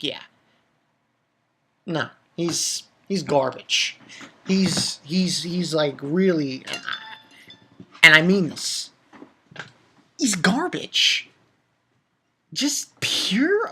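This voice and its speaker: A man's voice: high voice, bright, forceful tone, husky. Ranting man in a casual off-the-cuff review. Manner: ranting, emphatic, blunt and profane. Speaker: manga review video host.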